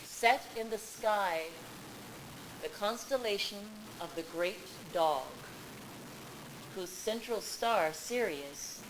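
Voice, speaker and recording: A woman storyteller reads aloud at a very unhurried pace. Her medium-pitched voice shapes each phrase deliberately and with emphasis. It is a live event recording with some background room noise. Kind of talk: dramatic storybook reading to a live audience. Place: public library event room.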